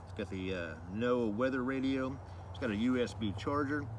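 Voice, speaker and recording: A man's voice: mid-range voice, moderate pace, background noise. A man giving a casual hands-on product review, explaining things informally and loosely with some repetition.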